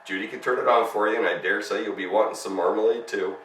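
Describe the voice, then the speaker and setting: A man voicing a fierce but kindly storybook housekeeper, a brisk and stern woman. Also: on the low side, steady tone, a bit bright, echoey room, recorded from a distance, slight background noise, close to an everyday voice.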